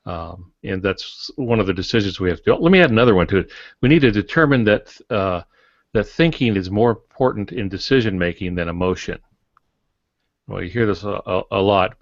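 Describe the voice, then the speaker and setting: An older man speaks in a low register, offering advice like a folksy mentor on a podcast. He leans on homespun figures of speech. The sound is close and dry with a somewhat muffled top end. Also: creaky, no echo. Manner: folksy, given to homespun metaphors. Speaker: podcast co-host and writing mentor. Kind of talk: casual advisory podcast talk. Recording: dull, lo-fi, dry podcast audio.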